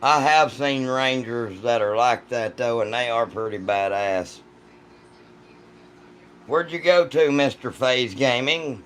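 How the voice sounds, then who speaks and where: A man with a deep, rough voice chats casually and jokingly with his livestream audience in a folksy, salty way. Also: slow, fairly bright tone, a little hiss or hum. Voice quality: deep, rough voice from vocal cord polyps. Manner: folksy, joking, foul-mouthed. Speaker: livestream host reading and answering chat.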